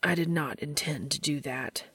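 A woman reading the part of a stiff, apologetic male supernatural being in an audio story, muttering formally and quietly. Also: low-pitched, moderate pace, breathy edge, no room sound, exaggerated voice. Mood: formal, subdued.

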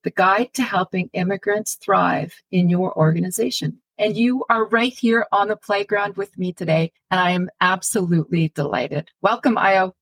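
A woman's voice: mid-range voice, moderate pace, gravelly, hardly any pauses, breathy edge, low-quality recording. A woman hosting a podcast interview, introducing her guest and asking questions with enthusiastic, effusive delight. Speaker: podcast host.

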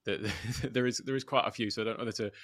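A man's voice: lowish voice, steady tone, racing, a bit bright, no echo, studio quality. A middle-aged man from the United Kingdom with a British accent, talking casually as an interview guest. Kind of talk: casual conversational self-introduction.

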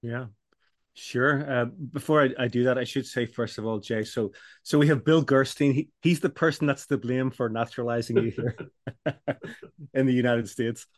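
A middle-aged man, a business coach, answering interview questions in a measured, explanatory way with frequent restarts. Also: mid-range voice, steady tone, no room sound, studio quality. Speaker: business coach for law firms. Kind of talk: podcast interview guest.

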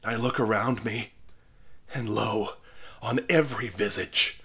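A man reads the part of a dying old clergyman, grim and secretive, speaking in solemn old-fashioned words. His voice is low and husky, and his pace is slow and heavy. This is a figure from a dark storybook tale. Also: full character voice. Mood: impassioned. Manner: solemn, archaic speech.

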